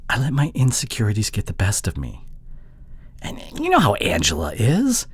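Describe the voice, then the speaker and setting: Guy acting as a sorry, pleading boyfriend for an audio roleplay, husky n breathy, halting, pitch moves all over. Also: wildly swooping pitch, full character voice. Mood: apologetic, earnest. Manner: halting, sighing, slipping into breathy murmurs. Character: apologetic, pleading long-distance boyfriend, insecure young man.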